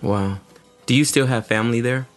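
A man's voice: mid-range voice, moderate pace, faint music behind. A male host introducing and interviewing a guest in a friendly, conversational way. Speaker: show host. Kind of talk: interview hosting.

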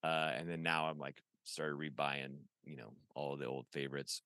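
Deep-voiced rambling man in his forties. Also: steady tone, moderate pace, pushed and bright, no echo, clean studio sound. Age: 40s. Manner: rambling, enthusiastic, says "dude" a lot. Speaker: podcast guest, toy artist. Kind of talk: casual chat.

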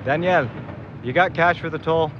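A man's voice: a little high, moderate pace, close to an everyday voice. A man playing a grumpy, indifferent van owner in an audio drama, blunt and grumbling in a naturalistic style. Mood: grudging. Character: grumpy, indifferent van-owning man, older than his companions. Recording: muffled, with clear background noise.

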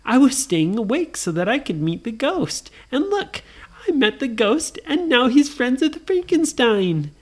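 A woman's voice: high voice, big pitch swings, a little slow, darkish tone, pitched above the performer's own voice, heavily acted. A woman voicing a brave, friendly little girl in a children's bedtime-style story. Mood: surprised.

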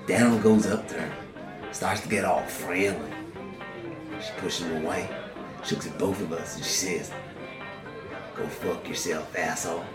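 An actor playing a defiant convict serving life, talking in coarse drawling slang with a sneer. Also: male voice, low-pitched. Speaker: prison inmate. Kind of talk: dramatic dialogue acting. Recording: roomy echo.

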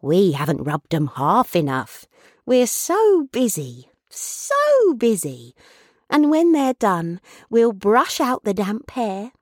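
A woman voicing a tender, playful young daughter in a storybook, with a soothing, fond and gently teasing manner. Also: mid-range voice, wildly swooping pitch, takes it slowly, no echo, clean studio sound, voice pushed up, heavily acted. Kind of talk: storybook character voice.

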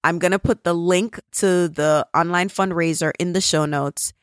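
A woman's voice: lowish voice, steady tone, moderate pace, no room sound, studio quality. A woman with a Jamaican accent hosting her own podcast, talking casually and earnestly as she thanks listeners and asks for their support. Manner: earnest, grateful appeal for support. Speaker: podcast host. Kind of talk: casual solo podcast update.

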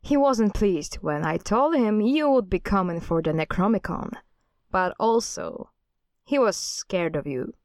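A woman performs a defiant, knowing heroine in a horror audio drama. Her medium-pitched voice is pointed and assured. The sound is dry, with little room around it. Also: animated, unhurried, darkish tone, dry recording. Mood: smug, defiant. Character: defiant, knowing woman, cult leader's estranged daughter.

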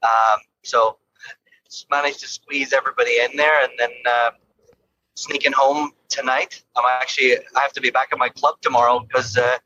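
Bright-voiced Irish man talking from a car. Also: mid-range voice, level tone, moderate pace, lo-fi sound, slight background noise. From Ireland. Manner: easygoing, laughs often, repeats words. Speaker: golf coach guest. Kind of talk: casual interview chat from a car.

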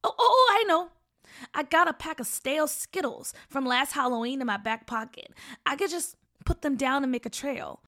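A woman's voice: sits high, moderate pace, a bit bright. A woman voicing a hungry, teasing young boy in a story, with slangy, exclamatory speech. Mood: bright, scheming. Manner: slangy, exclamatory, repeats words. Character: hungry young boy, Hala, sleepy then eager, teasing.

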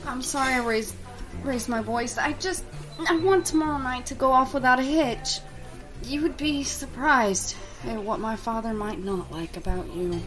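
Woman acting worried fiancée, high voice, hesitant, evasive, devoted, audio drama. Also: normal speed, a bit bright, faint music behind, natural voice, rough recording.

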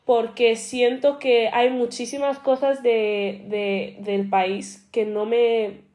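A woman's voice: on the high side, flat delivery, takes it slowly, a little mellow. A young woman born in Nigeria, speaking with a Madrid accent, co-hosting a casual podcast chat in a reflective way. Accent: Madrid Spanish. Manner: reflective, many fillers, laughs easily. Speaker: podcast co-host.

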